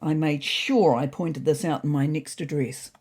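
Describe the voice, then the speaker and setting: A woman with a slightly husky voice reading a scripted comic first-person story in an expressive, indignant, in-character manner. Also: sits low, moderate pace. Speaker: narrator of an audio story.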